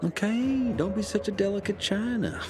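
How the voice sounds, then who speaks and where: A man acting a wisecracking, fast-talking scoundrel of a prisoner in a video game cutscene, joking and wheedling like a born storyteller. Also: sits high, background noise, close to an everyday voice. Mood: placating, excited. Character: wisecracking male prisoner, fast-talking scoundrel who jokes through misery. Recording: music behind the voice.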